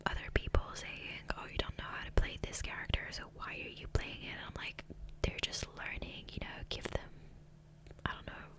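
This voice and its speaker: Softly whispering woman, ASMR style. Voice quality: soft unvoiced whisper. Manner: gentle, unhurried, rambling. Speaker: ASMR gaming creator. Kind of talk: ASMR whispered casual rambling. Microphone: close USB condenser microphone.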